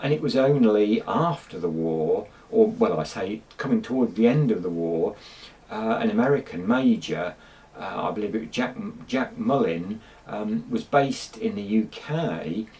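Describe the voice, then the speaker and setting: A man's voice: on the low side, animated, measured pace, darkish tone, recorded from a distance, poor audio. A middle-aged man, casually talking about old tape recordings, rambling with many ums and restarts. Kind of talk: casual chat to camera.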